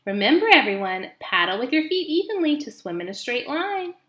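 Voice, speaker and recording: Storybook old goose, female, motherly, bossy and chirpy, swooping pitch, roomy sound woman reader acting it. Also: big pitch swings, brisk, fairly bright tone. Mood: encouraging.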